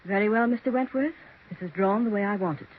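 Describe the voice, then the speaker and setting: A woman playing a haunted, insistent widowed aunt in a deliberate, dramatic 1940s radio style. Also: medium pitch, close to an everyday voice. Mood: firm, defiant. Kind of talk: 1940s radio mystery acting. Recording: tinny, hissy 1940s radio recording.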